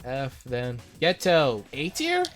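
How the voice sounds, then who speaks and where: Blunt young man, high animated voice. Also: slow. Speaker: co-host running a character ranking. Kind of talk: casual chat commentary. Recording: music playing behind the voice. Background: music.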